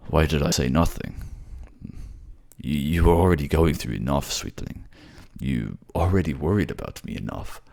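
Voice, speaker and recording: A very deep, gravelly male voice , put on by a male voice actor, for a weary man under a curse in a fantasy drama. He is tender and protective, heavy with guilt, and speaks in soft, halting pleas. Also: lively intonation, heavily acted. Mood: gentle, weary. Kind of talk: fantasy audio drama roleplay.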